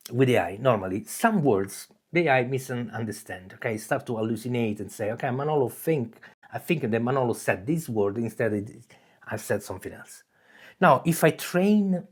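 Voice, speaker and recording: A man's voice: mid-range voice, breathy edge. A man from Italy with an Italian accent, hosting his own channel and talking through ideas in a loose monologue, restarting phrases and often checking in with "okay?". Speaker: channel host. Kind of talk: casual explanatory monologue.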